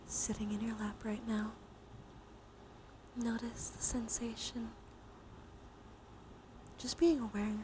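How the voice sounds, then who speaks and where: Slow, whispering woman doing ASMR. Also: on the high side. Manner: soft, mostly whispered delivery; soothing, gentle guiding. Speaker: live streamer leading relaxation exercises. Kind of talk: ASMR guided breathing and body scan with chat asides. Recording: clear background noise.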